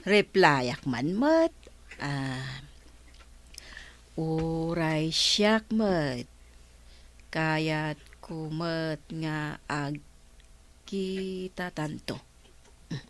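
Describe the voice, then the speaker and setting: Slow-talking lovestruck village wife. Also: female voice, deep voice, exaggerated voice. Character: lovestruck married woman hooked on texting. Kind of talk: Ilocano radio comedy drama acting.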